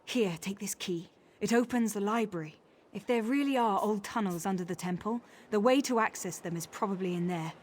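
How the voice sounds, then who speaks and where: An actress voicing a proper, indignant orc high priestess in a fantasy game, formal, earnest and emphatic. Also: female voice, fairly quick, a little hiss or hum, natural voice. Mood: determined.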